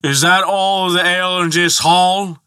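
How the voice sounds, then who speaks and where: A man's voice: on the high side, lively intonation, measured pace, bright, forceful tone, no echo, clean studio sound, voice pushed up. A man voicing a drunken, boastful, belligerent giant in a storybook, bellowing with slurred speech. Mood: drunken demanding. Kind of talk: dramatic storybook narration dialogue.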